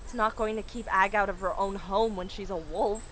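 A woman's voice: mid-range voice, fairly even intonation, fairly quick, very noisy, natural voice. A woman acting in an audio drama as an indecisive young city witch, nervous and hesitant. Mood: anxious.

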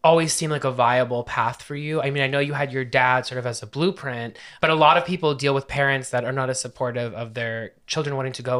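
A man's voice: medium pitch, fairly bright tone. A man hosting an interview podcast, asking curious, thoughtful questions. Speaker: podcast host.

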